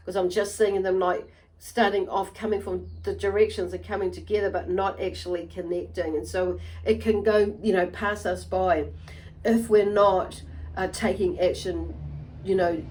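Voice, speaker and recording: A woman giving an unscripted tarot reading to viewers, halting and meandering, often repeating and rephrasing herself as she goes. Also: lowish voice, darkish tone, poor audio. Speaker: tarot reader and channel host.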